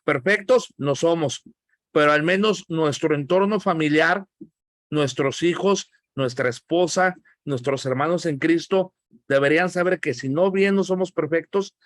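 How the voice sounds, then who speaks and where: A man's voice: a little high, moderate pace, a bit bright, no room sound, studio quality. A middle-aged man with a Mexican accent, a pastor teaching an online Bible class in a joking, emphatic, friendly scolding way.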